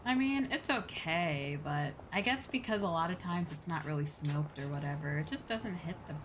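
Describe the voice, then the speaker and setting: Low-pitched woman streaming, some background noise. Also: lively intonation, moderate pace. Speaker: streamer playing a video game. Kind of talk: casual livestream chat, reading game dialogue aloud.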